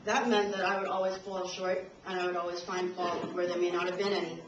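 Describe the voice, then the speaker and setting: A young woman reads her prepared speech to a university audience, slightly nervous and breaking into laughs now and then. She is caught from far off by a camcorder in a roomy lecture hall. The sound is dull and carries a steady hiss. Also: on the low side, a little fast. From Trinidad and Tobago. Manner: a little nervous, laughs between lines. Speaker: invited speaker. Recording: roomy, distant, dull camcorder audio with noise.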